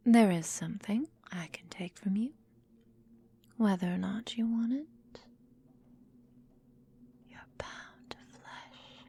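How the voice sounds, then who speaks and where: A woman playing a centuries-old vampire mistress in a whispered ASMR roleplay, breathy and close to the microphone, condescending, possessive and coolly doting, with formal, deliberate diction. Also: medium pitch, a little mellow, slight background noise, lightly acted. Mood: controlled menace.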